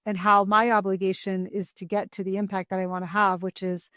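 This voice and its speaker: A woman's voice: mid-range voice, level tone, brisk, a bit bright, dry recording, clean studio sound. A woman hosting a podcast who speaks reflectively and thoughtfully from her own experience. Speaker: podcast host. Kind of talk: conversational interview.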